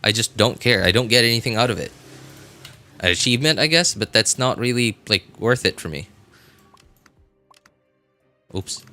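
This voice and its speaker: A man's voice: medium pitch, normal speed, pauses often, pushed and bright, faint music behind. A man livestreaming a game, chatting casually with viewers and thinking aloud in a rambling way. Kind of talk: casual gaming stream chat with viewers.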